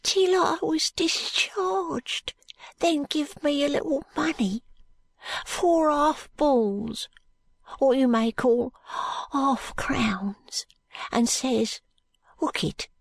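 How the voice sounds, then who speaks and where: A woman voicing a frightened, ragged London street boy in an audiobook, with a Cockney accent and hushed, halting, uneducated speech. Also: high-pitched, no room sound, voice pushed up, full character voice. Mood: wretched, defensive.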